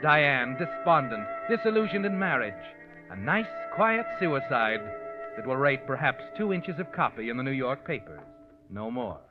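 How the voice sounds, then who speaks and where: Sinister old-radio narrator played by a man, swooping pitch. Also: sing-song, moderate pace, close to an everyday voice. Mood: sinister, knowing. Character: sinister omniscient male narrator, knowing and mocking. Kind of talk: 1940s radio suspense narration in second person. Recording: dull lo-fi old radio recording. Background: dramatic music.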